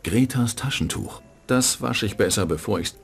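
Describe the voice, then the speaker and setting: An actor playing a cynical, hard-boiled private detective in a dubbed game, with a dry, sardonic delivery. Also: male voice, on the low side, animated, moderate pace, vocal fry, faint music behind. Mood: dry, wry. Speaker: narrating game protagonist.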